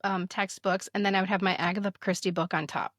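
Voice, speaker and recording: A woman's voice: medium pitch, steady tone, quick pace, fairly bright tone, no room sound. An American woman in her fifties with a mid-range voice, recorded close and without room sound. She talks casually as a podcast co-host, swapping memories. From Minnesota, United States. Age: 50s. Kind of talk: casual chat and reminiscing.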